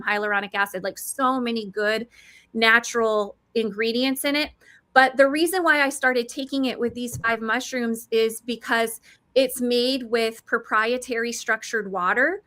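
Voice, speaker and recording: A woman in her forties giving an eager, chatty product pitch about mushroom supplements, calling herself a nerd. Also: pushed and bright. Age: 40s.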